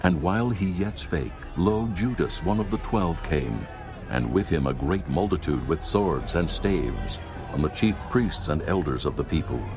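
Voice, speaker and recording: Male scripture narrator, extremely low voice, solemn and formal, clear music bed. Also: lively intonation, a little slow. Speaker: audiobook narrator. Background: background music.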